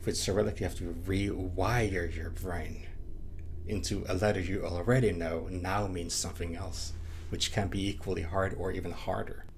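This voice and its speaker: A man's voice: low voice, fairly even intonation, moderate pace, a little hiss or hum. A young Norwegian man with a Western Norwegian accent, a gaming YouTuber rambling casually in English, often restarting his sentences. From Western Norway. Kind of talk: casual rambling chat while playing.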